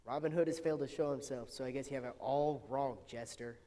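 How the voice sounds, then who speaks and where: A man's voice: medium pitch, fast talker, warm tone, lightly acted. A man playing an officious royal court official in a stage comedy, formal and orderly. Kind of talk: stage comedy fairy-tale acting.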